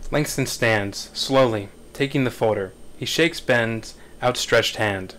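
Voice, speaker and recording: Measured man reading screenplay directions. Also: medium pitch, fairly bright tone, a little hiss or hum. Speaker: table read narrator.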